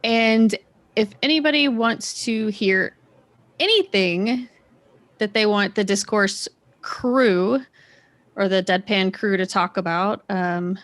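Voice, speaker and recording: A woman's voice: mid-range voice, slow, a bit bright, no room sound. A woman hosting a casual group podcast, playful and often chipping in. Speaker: podcast host. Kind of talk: casual chat.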